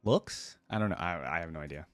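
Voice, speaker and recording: Very low-voiced woman casually reacting. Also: brisk. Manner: laid-back, musing, echoes lines aloud. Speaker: reaction video host.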